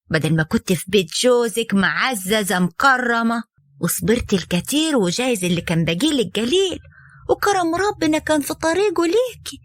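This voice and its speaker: Chatty young sister-in-law, a woman doing her, high and bouncy, coaxing, dry. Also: no room sound.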